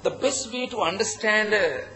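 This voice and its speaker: High, bright-voiced Sri Lankan man presenting. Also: moderate pace. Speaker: conference presenter, project founder. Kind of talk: conference presentation. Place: conference hall at a hotel.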